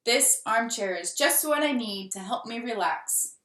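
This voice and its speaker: A woman voicing a tired, fussy, grumbling dad from a children's storybook, in a loud exclaiming manner. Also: on the high side, lively intonation, measured pace. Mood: weary. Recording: roomy, echoing room sound.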